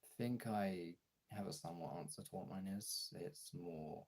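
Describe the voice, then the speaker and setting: A man chatting casually as a streamer, thinking aloud haltingly as he searches for words and trails off. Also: low-pitched, darkish tone, slightly rough.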